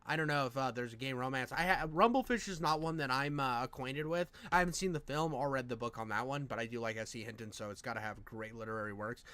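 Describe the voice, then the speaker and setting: A man's voice: medium pitch, fairly bright tone, no room sound. A man giving casual reaction commentary in an effusive, rambling, slangy way with plenty of swearing. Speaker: reaction video host.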